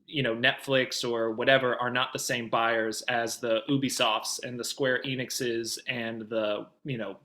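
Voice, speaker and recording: A man's voice: mid-range voice, little pitch movement, a little slow, few pauses, bright, forceful tone. A man working as a coach, giving precise, emphatic expert advice in a conversational question-and-answer session. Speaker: voice demo producer, guest coach.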